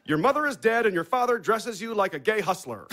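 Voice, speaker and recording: A man's voice: sits high, very animated, a little fast, a bit bright. An actor playing a blunt, sardonic American money manager in his thirties, dry and needling, in a naturalistic stage play. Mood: wry, frank. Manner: dry, needling, matter-of-fact.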